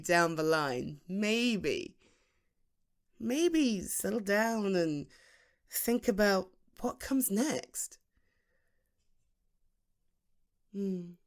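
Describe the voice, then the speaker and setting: A British woman playing a teasing, self-deprecating best friend in her late thirties in a chatty, halting ASMR roleplay monologue. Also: mid-range voice, exaggerated voice. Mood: wistful, light. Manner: halting, chatty, with sighs and chuckles. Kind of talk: ASMR roleplay acting, intimate conversational monologue.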